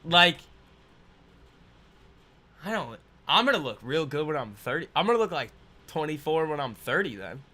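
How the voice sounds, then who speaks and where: A man's voice: fairly high pitch, sing-song, moderate pace, long pauses, sharp tone. A man in his twenties hosting a casual trading livestream, rambling and laughing as he reads viewer comments aloud. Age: 20s.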